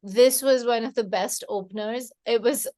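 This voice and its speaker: A middle-aged woman author from India, speaking with an Indian accent, in a thoughtful, warm casual conversation at a library event. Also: fairly high pitch, moderate pace. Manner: thoughtful, warm, many fillers and restarts.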